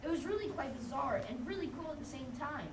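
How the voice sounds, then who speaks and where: A woman playing a pushy, excitable friar in a puppet show, exclaiming eagerly and insistently. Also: sits high, fast. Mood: amazed, excited. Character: pushy, excitable friar, bishop's nosy male doorkeeper. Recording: echoey, noisy, distant live recording.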